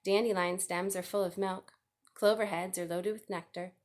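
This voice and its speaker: A woman's voice: on the low side, fairly even intonation, moderate pace. Woman reading a children's story aloud. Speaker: storybook reader.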